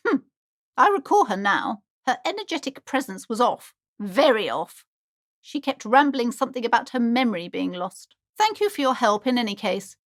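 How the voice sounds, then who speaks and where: A woman's voice: fairly high pitch, very animated, moderate pace, dry recording, studio quality. An actress playing a superstitious, pretentious, anxious woman who runs a bookshop, speaking in a haughty, melodramatic, affectedly genteel way for a video game. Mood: composed, brisk. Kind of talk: theatrical game dialogue.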